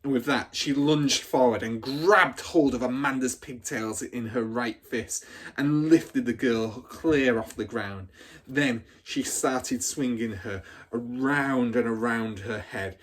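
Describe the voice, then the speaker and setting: A man's voice: medium pitch, slow pace. A man reading a children's story aloud as a teacher would, with dramatic, emphatic expression.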